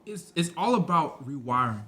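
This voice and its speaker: A man's voice: fairly high pitch, expressive, normal speed, sharp tone. Young man, rambling casual talk. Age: 20s. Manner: loose and rambling, full of "you know what I'm saying". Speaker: podcast guest. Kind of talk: casual conversation.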